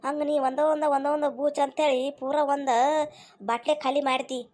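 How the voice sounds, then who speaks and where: A woman's voice: sits high, level tone, normal speed, a little mellow, close to an everyday voice. A woman voicing a cheeky, know-it-all young daughter-in-law in a cartoon comedy, explaining and nagging teasingly with a North Karnataka accent. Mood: nagging, warning.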